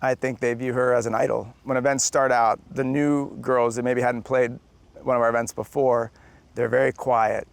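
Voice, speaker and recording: Slow-talking man with a gravelly voice. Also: medium pitch, flat delivery. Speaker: interviewee, husband. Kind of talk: casual interview talk.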